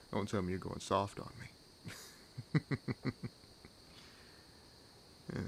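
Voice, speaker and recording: Over a soft bed of night-forest insects comes a low, gravelly male vampire acted by a man. He is wry and world-weary, needling his companion with sardonic teasing. The delivery is intimate and unhurried, in the manner of an audio roleplay. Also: a little hiss or hum, full character voice. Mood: wary, calculating. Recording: nature ambience laid under voice. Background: forest ambience with crickets and insects.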